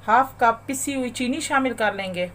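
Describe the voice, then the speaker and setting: A woman hosting a cooking channel, calmly talking through a recipe step by step in a voice-over. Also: on the high side, normal speed, a little hiss or hum. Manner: calm, methodical instructions. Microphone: voice-over over overhead shots of a bowl.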